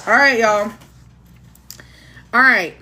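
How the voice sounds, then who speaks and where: A woman's voice: on the high side, slow pace, bright, forceful tone, slight background noise. A woman with a country accent hosting a casual tarot livestream, chatting with her viewers. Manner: chatty, talks to her chat. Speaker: tarot reader livestream host. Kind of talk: casual live chat.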